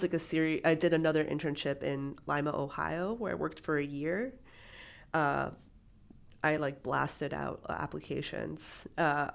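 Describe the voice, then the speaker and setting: A woman's voice: low-pitched, creaky. A woman in her thirties, born in Peru and raised in Miami, chatting casually as an interview guest, full of fillers and restarts. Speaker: documentary photographer, interview guest.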